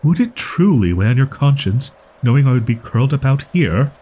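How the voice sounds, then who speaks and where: A husky young wolf-boy, acted by a man for an audio roleplay. He speaks in haughty, old-fashioned phrases and insists on his pride. Defensive stammers show how easily flustered he is. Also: mid-range voice, big pitch swings, a little fast, mellow. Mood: exasperated, flustered. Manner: haughty, stilted archaic diction, defensive stammering. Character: proud, flustered tsundere young wolf-boy with archaic speech. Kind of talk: roleplay audio drama monologue addressed to listener.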